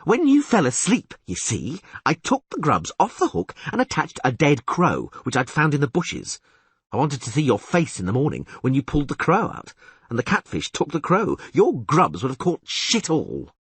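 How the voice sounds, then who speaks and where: A man voicing an excitable, boastful young male bard who exclaims loudly and breathlessly in an audiobook. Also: a little high, moderate pace, breathy edge, no echo, pitched above the performer's own voice, full character voice. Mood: excited. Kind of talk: audiobook character voice.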